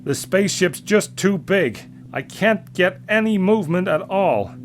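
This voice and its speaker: A man's voice: high-pitched, expressive, unhurried, a little hiss or hum, pitched above the performer's own voice. Man acting a strained, brave young rescue pilot. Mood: groaning strain. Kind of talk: dramatic audio story reading.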